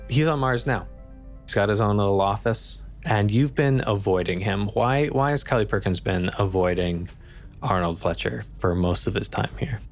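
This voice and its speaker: A man with a lowish voice and plenty of vocal fry runs a tabletop game. He narrates casually and puts questions to his player over faint guitar music. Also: moderate pace. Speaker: game master.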